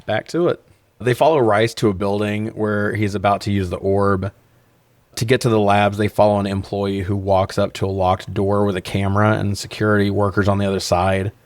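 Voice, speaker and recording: A man's voice: lowish voice, flat delivery, fairly quick, dry recording. A man co-hosting a podcast, casually recapping and riffing with incredulous, sweary humor. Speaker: podcast co-host. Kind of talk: casual comedic film recap and chat.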